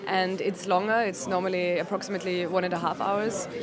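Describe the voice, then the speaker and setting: A woman's voice: lowish voice, little pitch movement, a crowd in the background. Austrian woman comedian, laughing often. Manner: laughs often, halting non-native phrasing. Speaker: stand-up comedian, interview guest. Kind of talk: casual interview answers. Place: music venue.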